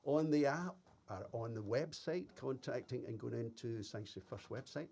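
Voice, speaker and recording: A man's voice: medium pitch, normal speed, creaky. A man hosting a live music stream, reading viewers' comments and giving effusive thanks and blessings. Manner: warm, effusive praise and blessings. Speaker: host.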